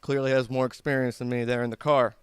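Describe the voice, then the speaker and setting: A man's voice: mid-range voice, level tone, quick pace, clear, resonant tone, dry recording. A young man from Germany, a newcomer fighter, giving brief, modest answers in an interview. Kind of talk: casual interview.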